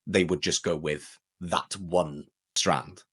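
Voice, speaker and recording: A man's voice: low-pitched, slow, slightly rough. A young Welsh man with a Welsh accent, a voice actor chatting as an interview guest and acting out quoted exchanges. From Wales. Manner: animated, acts out quoted exchanges with a shifting voice. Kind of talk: casual interview chat.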